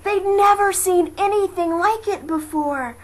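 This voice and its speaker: A woman reading children's verse aloud as an amateur storyteller, dramatic and deliberate in her delivery. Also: extremely high pitch. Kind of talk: expressive children's verse narration. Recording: faint noise, amateur home recording. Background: faint ambient noise.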